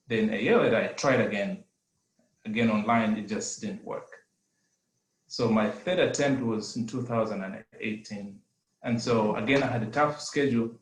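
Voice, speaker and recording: A man's voice: lowish voice, reverberant, low-quality recording. A middle-aged man from Zambia with a Zambian accent, giving an earnest personal testimony over a video call, with hesitant fillers. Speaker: adult education graduate giving testimony.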